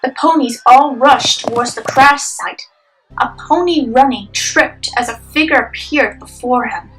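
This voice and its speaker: A woman's voice: high-pitched, unhurried, a bit bright, distant mic, a little hiss or hum, poor audio. A young adult woman reading scripted narration for a dramatic story.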